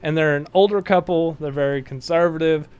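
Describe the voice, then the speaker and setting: A man's voice: a little high, fairly quick, darkish tone, clear, resonant tone, dull audio. A man with a Southern US accent, a car salesman guest telling stories in a casual podcast chat, restarting his sentences often. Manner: friendly storytelling with many restarts. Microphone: home podcast mic, moves off-mic.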